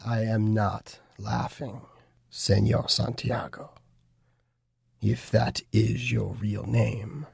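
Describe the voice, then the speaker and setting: A man playing a cold, formal villainous interrogator, slow and deliberate in an audiobook drama. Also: fairly low pitch, flat delivery, gravelly, a little mellow, slightly rough, no room sound, studio quality, full character voice. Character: menacing male Illuminati interrogator, formal and cold. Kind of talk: full-cast audiobook acting.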